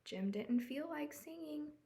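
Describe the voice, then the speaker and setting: A woman's voice: high voice, lively intonation, a little slow, creaky, warm tone. A woman storyteller reading a picture book aloud, expressively explaining and asking young listeners questions.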